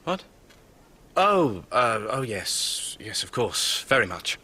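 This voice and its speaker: A man's voice: medium pitch, very animated, slow, bright, forceful tone, slightly rough, heavily acted. An actor playing a reserved, dry, dutiful young man in a radio drama. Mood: dry, distracted.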